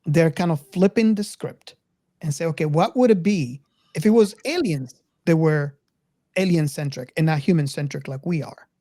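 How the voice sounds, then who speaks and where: A man's voice: a little high, moderate pace, warm tone, no echo. Man earnestly arguing, close dry sound. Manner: earnest, insistent, repeats phrases to make a point. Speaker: podcast co-host. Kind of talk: casual film discussion.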